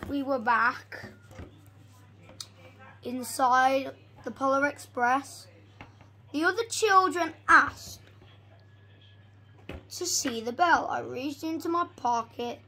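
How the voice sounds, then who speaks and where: A young reader reading a storybook aloud haltingly, stumbling over and repeating words. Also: high-pitched, sharp tone.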